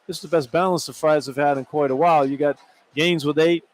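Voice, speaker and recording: Higher-pitched man analysing basketball, conversational. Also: fairly even intonation, fast talker. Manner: conversational, explanatory. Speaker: radio colour analyst. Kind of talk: live basketball commentary. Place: courtside in a large indoor arena. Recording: live arena broadcast with background noise.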